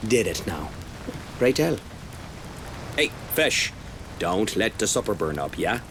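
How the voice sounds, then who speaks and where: A man playing a blunt, sceptical young soldier in a game drama, gruff and matter-of-fact. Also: lowish voice, sing-song, slow pace, noisy background, exaggerated voice. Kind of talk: game cutscene acting.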